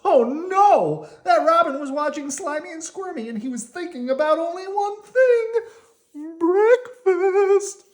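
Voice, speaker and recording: A woman reading a children's storybook aloud in an expressive, dramatic way. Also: very high voice, slow. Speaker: storybook reader. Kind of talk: children's read-aloud narration.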